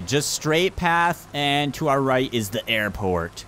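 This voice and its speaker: A man's voice: mid-range voice, lively intonation, slow, a bit bright, a little hiss or hum. A man chatting casually through multiplayer gameplay as the group's leader, calling out directions and instructions to teammates. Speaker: gamer leading a multiplayer roleplay group.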